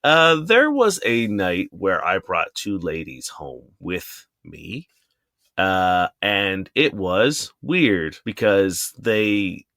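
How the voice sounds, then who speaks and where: A man's voice: low-pitched, big pitch swings, very slow, sharp tone, no echo, clean studio sound. Young man rambling through podcast chat. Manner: rambling, filler-heavy storytelling. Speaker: podcast co-host. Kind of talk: casual banter. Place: small closet.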